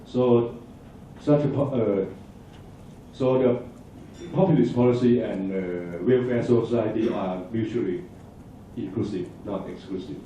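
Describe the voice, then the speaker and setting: Halting man answering in an echoey hall. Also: on the low side, mellow, a little hiss or hum. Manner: hesitant, halting, restarts words, frequent fillers. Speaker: conference presenter. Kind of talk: answering audience questions. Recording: echoey hall, distant dull mic.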